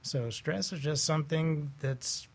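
A man explaining things conversationally as a guest on a talk show, with a gravelly voice. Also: a little high, measured pace.

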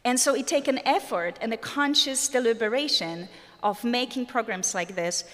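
A woman's voice: fairly high pitch, a bit bright. Polish woman speaking in a reverberant chapel. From Poland. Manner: earnest, thoughtful, pauses with fillers. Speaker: organist. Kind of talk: spoken recital introduction. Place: university chapel. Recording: long reverb of a large hall.

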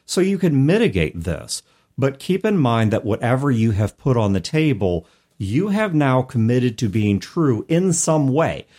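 Man explaining with lively, swooping intonation. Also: mid-range voice, a little slow. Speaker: podcast host. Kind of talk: conversational advice on tabletop game mastering.

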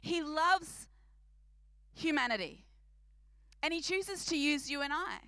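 A woman's voice: high voice, expressive, takes it slowly, sharp tone, dry recording, clean studio sound. A woman with an Australian accent preaching to a church congregation in a big, emphatic voice, repeating phrases for effect. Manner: big, projecting voice. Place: church service.

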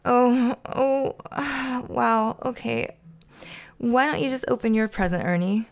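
A woman's voice: fairly high pitch, quick pace, pitched above the performer's own voice, close to an everyday voice. A woman voicing a fussy, earnest male puppet character who is easily flustered and hesitant, for a children's storybook. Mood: forced politeness.

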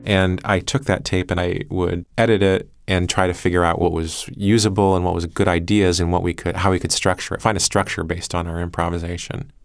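A man with a deep voice speaks in a dry, close studio sound. He explains his production work in a reflective, thoughtful interview style, feeling his way through phrases. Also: level tone, a little fast, gravelly, few pauses, dry recording. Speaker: podcast creator and producer.

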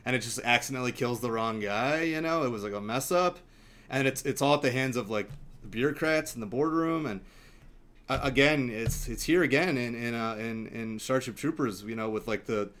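A man talking casually and enthusiastically in a film discussion, in a halting, rambling way. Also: mid-range voice, fairly bright tone. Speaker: podcast panelist.

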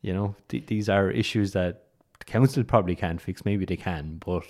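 A man hosting a podcast, chatting in a relaxed, agreeable way. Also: sits low, normal speed. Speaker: podcast host. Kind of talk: casual podcast conversation.

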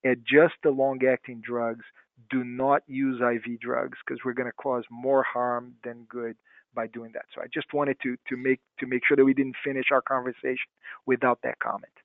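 A man's voice: medium pitch, little pitch movement, moderate pace, dry recording. Man, from Brazil, native Portuguese speaker, medical guest, restarts phrases, tinny phone sound. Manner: explanatory, stops to restart phrases. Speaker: guest physician. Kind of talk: clinical podcast interview.

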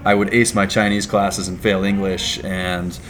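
A young man from California, a business founder telling his story in a casual interview, rambling and relaxed with lots of "like" and "right?". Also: deep voice, moderate pace, rough recording.

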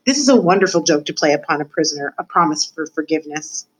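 A woman's voice: deep voice, lively intonation, fast talker, husky, low-quality recording. A woman voicing a cynical, cruel older male rat who lectures theatrically in a storybook. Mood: sly, lecturing. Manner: theatrical, lecturing, emphatic with repetitions.

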